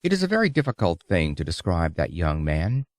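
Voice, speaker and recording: Animated male audiobook narrator, dry sound. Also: low voice, a little fast, no echo. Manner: theatrical storytelling, emphatic. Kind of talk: expressive narration of an old-fashioned oratorical lecture.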